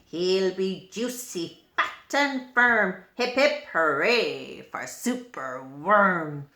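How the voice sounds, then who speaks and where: Woman playing a grim hungry crow, storybook villain, relishing chant, drawn-out words, echoing room. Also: fairly low pitch, expressive, bright, forceful tone, voice pushed down, heavily acted. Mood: gloating relish. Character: grim, greedy crow chanting about a juicy meal. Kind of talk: children's storybook read-aloud.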